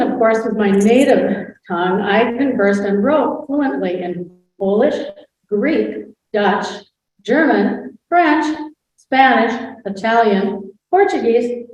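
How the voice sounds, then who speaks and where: A woman's voice: on the high side, darkish tone, lightly acted. A woman playing a proud, witty 19th-century noblewoman. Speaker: historical impersonator. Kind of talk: scripted first-person portrayal. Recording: roomy hall sound, far from the mic, dull.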